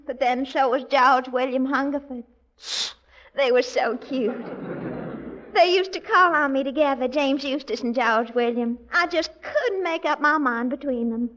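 A coquettish Southern widow in an old radio comedy. Her voice is high, with a Southern American accent and a coy, gushing manner. The sound is muffled and dated. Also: a bit bright. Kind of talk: 1940s radio comedy acting. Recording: dull vintage 1940s radio recording.

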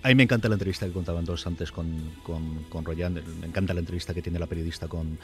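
A man's voice: deep voice, fairly even intonation, fast talker, music in the background. A man talking as a podcast panelist, informally analytical and emphatically enthusiastic.

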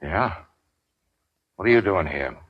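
Very deep-voiced, deadpan gangster. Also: male voice, slow pace, slightly rough, no room sound, full character voice. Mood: defiant, deadpan. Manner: terse, slangy, deadpan. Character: weary hard-boiled gangster, wounded, sardonic and unafraid. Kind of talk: 1940s radio drama acting. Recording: dull 1940s radio recording.

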